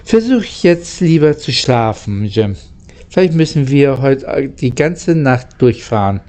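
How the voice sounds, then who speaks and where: A man voicing a kindly, thoughtful adult engine driver in a storybook, measured and reassuring. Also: medium pitch, sing-song, dark tone. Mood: gentle, uncertain. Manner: measured, comforting.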